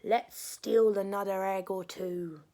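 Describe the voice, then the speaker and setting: A woman voicing a gangly, greedy male crook who sounds eager and drawn-out. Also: mid-range voice, steady tone, mellow.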